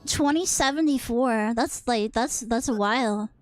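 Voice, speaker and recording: High-voiced woman streamer, casual. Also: measured pace, faint music behind. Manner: swears casually, blurts reactions; reads on-screen game text aloud between comments. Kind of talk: casual live-stream gaming chat.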